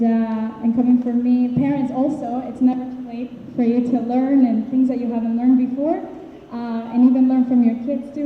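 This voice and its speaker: A woman from Peru with a fairly high voice says goodbye as a Hebrew school teacher, stopping and restarting her sentences as she speaks. The sound is tinny and phone-like. It echoes around a hall with a long reverb and a faint hiss underneath. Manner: hesitant, many restarts and fillers. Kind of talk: emotional farewell speech.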